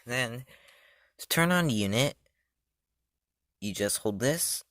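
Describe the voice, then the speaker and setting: A man's voice: medium pitch, lively intonation, slow pace, a bit bright, no room sound, studio quality. A man hosting his own channel, walking through a gadget setup step by step in a loose, chatty way and often trailing off with "so, yeah.". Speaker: channel host reviewing a gadget. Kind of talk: casual step-by-step product demo.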